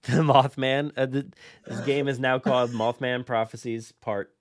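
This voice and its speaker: A man's voice: mid-range voice, flat delivery, moderate pace. Male podcast host, everyday conversational delivery, informal and jokey, slightly hesitant phrasing. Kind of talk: casual chat about game news.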